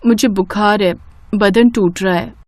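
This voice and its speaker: A woman's voice: mid-range voice, steady tone, a little slow, darkish tone, no room sound, studio quality, natural voice. A woman voicing an overworked young housewife in a family cartoon, plaintive and complaining. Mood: plaintive, feigning.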